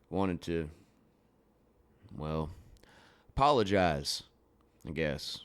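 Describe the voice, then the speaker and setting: Radio play lead: rueful rodeo rider, injured and guarded, low smooth voice, halting delivery. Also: male voice, dry recording. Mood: apologetic, earnest. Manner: halting, plain-spoken. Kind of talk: naturalistic radio drama acting.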